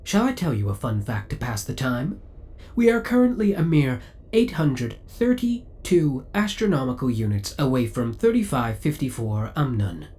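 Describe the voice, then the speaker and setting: A man's voice: mid-range voice, darkish tone. A man playing a devoted, possessive spaceship AI in an ASMR audio roleplay, speaking in a formal, courteous and precise way. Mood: helpful, informative. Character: devoted, possessive male spaceship AI, politely obsessive.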